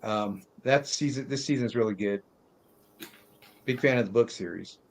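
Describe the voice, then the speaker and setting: A man's voice: medium pitch, moderate pace. A man hosting a movie talk show in a casual, conversational style.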